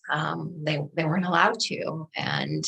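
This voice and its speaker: A middle-aged woman from Afghanistan and Pakistan, a native Dari speaker, tells her personal story in a measured, reflective way as an interview guest. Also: low-pitched, steady tone, gravelly, sharp tone, rough recording.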